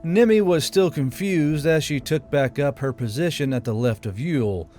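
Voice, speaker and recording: A man's voice: on the high side, normal speed, dark tone, faint music behind. A man reading scripted fantasy fiction aloud as an audiobook narrator.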